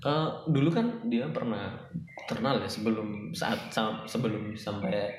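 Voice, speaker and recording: A man's voice: on the low side, unhurried, reverberant, noisy background. A young man with a Javanese-tinged Indonesian accent, co-hosting a casual chat, agreeable, often chiming in and laughing easily. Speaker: podcast co-host.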